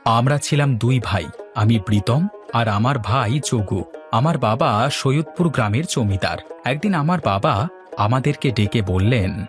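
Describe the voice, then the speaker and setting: A man voicing a gentle, wronged young landlord's son who tells his tale earnestly in a cartoon. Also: mid-range voice, expressive, unhurried, faint music behind, natural voice. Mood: earnest, sorrowful. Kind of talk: Bengali cartoon storytelling dialogue.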